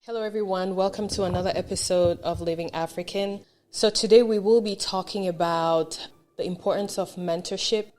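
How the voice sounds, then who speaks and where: A woman's voice: mid-range voice, fairly even intonation, moderate pace, a little mellow, faint music behind. A woman hosting a podcast, presenting in a polished, upbeat way. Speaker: podcast host.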